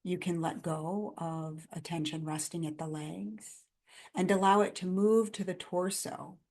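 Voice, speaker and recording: A woman guiding a body scan meditation in a gentle, calm, instructional manner, in measured phrases with pauses between them. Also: fairly low pitch. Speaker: meditation guide.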